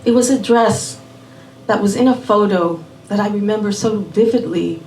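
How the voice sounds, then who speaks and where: A woman raised in Miami telling a personal story live at a small venue, unhurried and measured, dropping in Spanish words. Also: medium pitch, a little mellow, a little hiss or hum. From born in Puerto Rico, raised in Miami. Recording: roomy venue audio from a distant mic.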